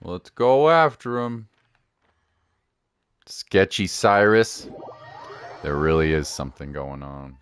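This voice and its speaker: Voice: sits low, slow, pauses often, a little hiss or hum. A streamer chatting casually over gameplay, echoing bits of the game's dialogue and reacting to it. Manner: reads along and echoes game dialogue. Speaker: game streamer. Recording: game music behind. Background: video game music and dialogue.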